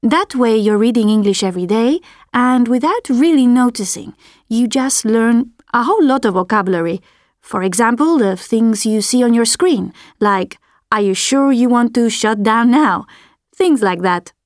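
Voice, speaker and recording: A woman reading a scripted language tip in a clear, friendly, even manner. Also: a little high, normal speed, no echo, studio quality. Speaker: learner giving a tip. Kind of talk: scripted textbook audio.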